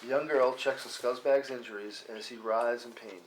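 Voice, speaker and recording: A man narrates screenplay directions at a live table read. His pitch is average, and the recording has faint noise with clicks. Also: fairly even intonation, moderate pace, low-quality recording. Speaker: stage-direction reader.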